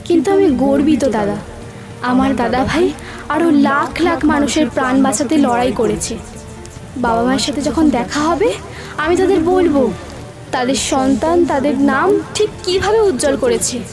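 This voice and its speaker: A woman playing a gentle, devoted younger sister's ghost in a horror audio drama, speaking tenderly. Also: high-pitched, moderate pace, natural voice. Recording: music bed with rain behind. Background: music and rain.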